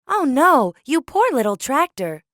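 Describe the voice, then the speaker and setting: A woman's voice: high-pitched, big pitch swings, unhurried, a bit bright, dry recording, studio quality, exaggerated voice. A woman voicing a kindly, reassuring rescue vehicle in a children's cartoon, soothing and motherly. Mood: caring.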